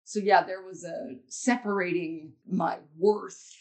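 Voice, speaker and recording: A woman with a deep voice, talking in a blunt, rambling way as a podcast guest, swearing freely. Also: steady tone, slow, darkish tone. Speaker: guest comedian. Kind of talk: casual podcast chat.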